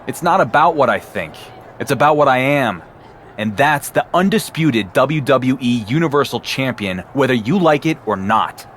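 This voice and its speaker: A man's voice: medium pitch, expressive, moderate pace, a bit bright, a little hiss or hum, lightly acted. A man playing a defiant, cocky male wrestling champion in a video game cutscene, with a dry delivery.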